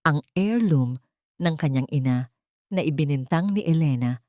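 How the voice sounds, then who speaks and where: A woman's voice: deep voice, expressive, moderate pace, mellow, no echo, studio quality. A woman narrating a scripted dramatic story in a solemn, emotive storytelling manner.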